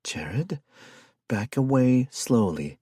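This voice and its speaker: A man voicing an exasperated, sharp-tongued young woman who scolds impatiently. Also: lowish voice, very animated, normal speed, no room sound, studio quality, pitched above the performer's own voice. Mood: wary.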